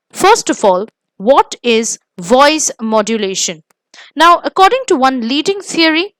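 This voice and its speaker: High-pitched, expressive Indian woman presenting a lesson. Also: no room sound, poor audio. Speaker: host of an exam coaching video. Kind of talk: scripted instructional presentation.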